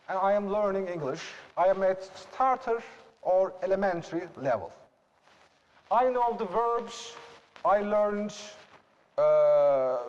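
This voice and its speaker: Slow-speaking Turkish-accented man presenting. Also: sits high, long reverb tail, lo-fi sound. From Turkey. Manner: slow, deliberate, explanatory with rhetorical questions. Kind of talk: classroom presentation.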